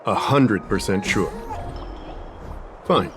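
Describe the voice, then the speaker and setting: A methodical game official with a low voice. He is composed and formal, speaking in a measured way over clear music. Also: expressive. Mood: confident. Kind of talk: video game dialogue acting. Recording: music behind the voice.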